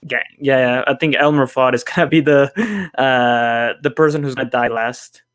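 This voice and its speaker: Hesitant man with a clean, smooth voice. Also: mid-range voice, fairly even intonation, normal speed, fairly bright tone. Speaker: podcast panelist. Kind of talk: casual chat.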